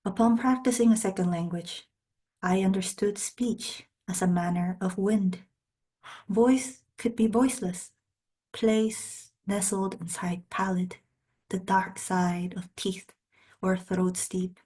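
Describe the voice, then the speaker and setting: A woman poet, a native speaker of Spanish and Korean, reading poems in measured, deliberate short phrases with brief spoken introductions. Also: mid-range voice, fairly even intonation, mellow, breathy edge.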